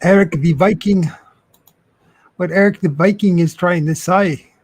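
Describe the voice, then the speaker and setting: A man co-hosting a live stream in casual chat, excitable and playful, laughing often. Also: sits high, measured pace, husky, dry recording. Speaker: co-host.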